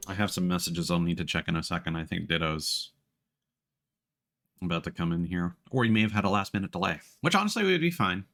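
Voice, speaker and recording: Low-pitched male streamer, casual. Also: expressive, brisk, a bit bright. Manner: hesitant, thinking aloud. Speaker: game streamer. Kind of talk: casual live gameplay commentary.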